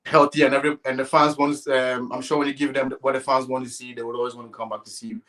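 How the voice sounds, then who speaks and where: A man interviewing conversationally about sport, with a hesitant, halting way of working up to each question. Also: mid-range voice, little pitch movement, fairly bright tone, a little hiss or hum.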